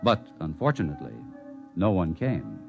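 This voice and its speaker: An actor playing the measured male narrator of a 1950s radio drama, reading scripted narration. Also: low voice, big pitch swings, vocal fry, dull audio, exaggerated voice. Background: dramatic music.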